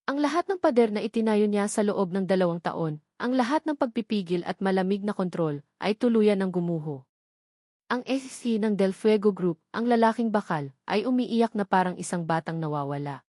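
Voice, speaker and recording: A woman's voice: medium pitch, animated, normal speed, mellow, dry recording, clean studio sound. A woman narrating a romantic drama story in a scripted audiobook style.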